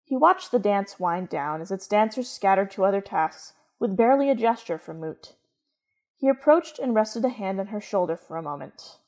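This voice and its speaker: A woman narrating a scripted story in a measured, literary manner. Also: medium pitch, lively intonation, darkish tone.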